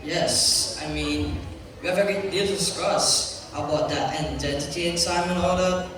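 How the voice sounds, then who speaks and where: A man's voice: fairly high pitch, fairly even intonation, moderate pace, close to an everyday voice. A young man acting an ardent, self-assured young suitor in an amateur stage play. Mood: tender. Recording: echoey hall from far off, noisy.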